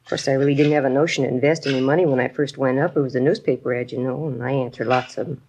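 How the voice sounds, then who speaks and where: A woman's voice: extremely low pitch, fairly even intonation, rapid-fire, darkish tone, dull audio. An actress playing a trusting woman shop owner who has been swindled, rambling and bewildered in a 1950s radio crime drama. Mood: earnest, explaining. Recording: noisy old radio recording.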